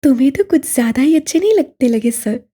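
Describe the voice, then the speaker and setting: A woman voicing eager, teasing young women chatting among friends in an audio novel, with an animated manner. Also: high voice, quick pace, slightly rough.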